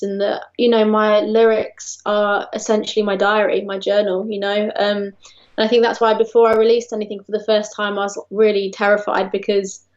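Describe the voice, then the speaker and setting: A woman's voice: mid-range voice, darkish tone. A woman with an English accent, a singer-songwriter answering interview questions over a call in a friendly, chatty way. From England. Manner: friendly, laughs often, uses fillers. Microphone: remote call. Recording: dull lo-fi call audio.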